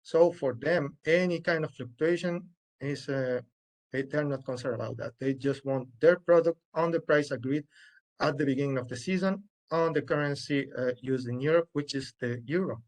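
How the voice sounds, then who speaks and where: A man from Chile with a Chilean Spanish accent, answering a webinar question on a video call, halting with many restarts. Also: on the high side, darkish tone, low-quality recording. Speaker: webinar panelist. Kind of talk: unscripted expert answer. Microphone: webcam on video call.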